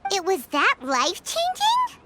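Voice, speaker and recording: Casting: chirpy childlike game fairy, very high voice, wildly animated delivery, music behind. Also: female voice, a little slow, heavy background noise, exaggerated voice. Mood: surprised. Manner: speaks of self in third person. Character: small childlike fairy companion, chirpy and cheeky. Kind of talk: video game voice acting.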